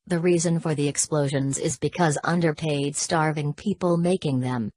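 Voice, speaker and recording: A synthetic text-to-speech woman's voice reading a scripted emergency broadcast in a flat, formal, impersonal manner. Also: low voice, normal speed, no room sound. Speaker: emergency alert announcer.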